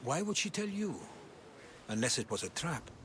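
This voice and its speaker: A man's voice: on the high side, big pitch swings, normal speed, a bit bright. An actor playing an eager, inquisitive scholar in a video game cutscene, marvelling as he talks. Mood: suspicious. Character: eager, awestruck scholarly male companion. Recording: clear ambient noise behind.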